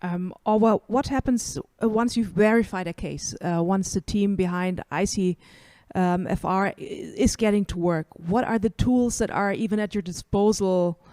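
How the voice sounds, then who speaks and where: A woman's voice: mid-range voice, normal speed, a little mellow, dry recording. A woman who directs a festival, moderating a panel conversation and asking probing follow-up questions.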